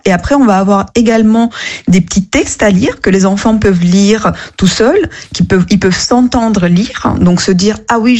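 A woman's voice: medium pitch, a little mellow. A woman whose first language is not French, answering questions as a radio guest, earnest and hesitant with frequent restarts. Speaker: app co-founder, radio guest.

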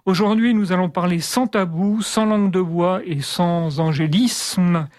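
A woman speaks as an interview host in a mid-pitched voice. She works through prepared questions haltingly, often hesitating between phrases. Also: a little mellow.

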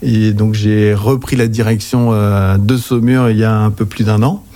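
A man in his forties from western France, with a French accent, giving informative interview answers as a company director in a very hesitant, halting way. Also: fairly low pitch, fairly even intonation, dark tone. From Anjou, western France. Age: 40s. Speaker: accounting agency director, interview guest. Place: interview on site in an office.

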